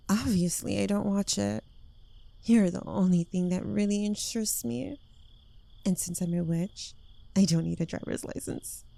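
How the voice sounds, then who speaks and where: Woman acting a slow, creaky-voiced possessive witch girlfriend. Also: mid-range voice, warm tone, no room sound. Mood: smug, adoring. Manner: coy, teasing, lingering delivery dropping into breathy whispers. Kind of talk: intimate ASMR roleplay, addressed to the listener.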